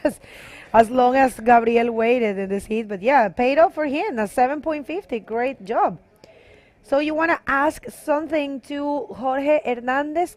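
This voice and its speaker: A woman's voice: fairly high pitch, darkish tone. A woman from Venezuela with a Venezuelan accent tinged with Canarian, giving bilingual live commentary on a bodyboarding contest and switching between English and Spanish. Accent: Venezuelan Spanish, mixed with Canarian.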